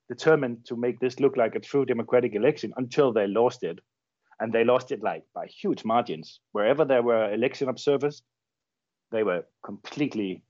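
A man's voice: medium pitch, a little mellow, no echo. Danish journalist man, dull dry sound. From Denmark. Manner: thoughtful, repeats words as he searches for phrasing. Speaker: journalist guest. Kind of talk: interview conversation.

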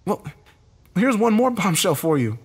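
A man's voice: high voice, very animated, measured pace, husky, pitched above the performer's own voice, heavily acted. A man voicing a desperate, bitter man in a dramatic story, agitated, urgent and given to swearing. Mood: pleading, urgent. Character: Josh, desperate bitter man, cornered game loser.

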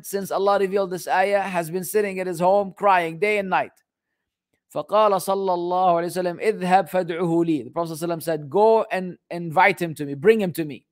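A man giving an Islamic religious lesson as a teacher, moving between Arabic text and English translation. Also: fairly high pitch. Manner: halting English delivery, rapid fluent Arabic recitation.

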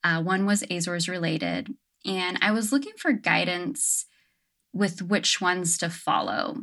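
A woman's voice: lowish voice, big pitch swings, a little slow, creaky, fairly bright tone. A young adult woman talking casually as an interview guest, reflective and thoughtful, with frequent "um" and "like". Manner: reflective, many fillers like "um" and "like". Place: her home on an island.

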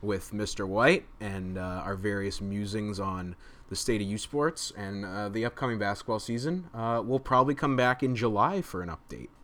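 Low-voiced man with dry remarks. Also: moderate pace, fairly bright tone. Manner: dry, brief remarks with fillers. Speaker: podcast co-host. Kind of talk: casual sports podcast chat.

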